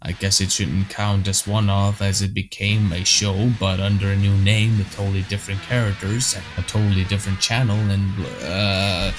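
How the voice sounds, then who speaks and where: A young man whose first language is Icelandic reads his own scripted review commentary. His voice sits a little low, and he talks bluntly and offhandedly, tossing in the odd swear word. Soft music plays underneath. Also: flat delivery, normal speed, few pauses, rough recording. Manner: blunt, opinionated, casual swearing. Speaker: cartoon retrospective host.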